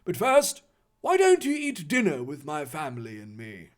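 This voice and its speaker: A man's voice: fairly high pitch, huge pitch swings, normal speed, pitched below the performer's own voice. A man doing a posh old English reverend. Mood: kindly.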